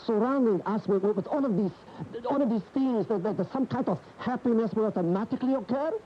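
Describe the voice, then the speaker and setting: A man acting in a radio drama as a weary, brooding, intense bullfighter, halting and earnest, repeating his words. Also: high voice, a little hiss or hum, natural voice. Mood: bitter. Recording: muffled radio broadcast tape.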